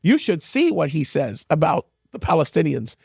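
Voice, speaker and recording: The higher-pitched male host across the table in a close, dry podcast studio, pressing his points in stops and starts. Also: big pitch swings, normal speed, vocal fry, warm tone, breathy edge, no room sound. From United States, Egyptian parents. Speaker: interview host. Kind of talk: conversational interview, giving opinions.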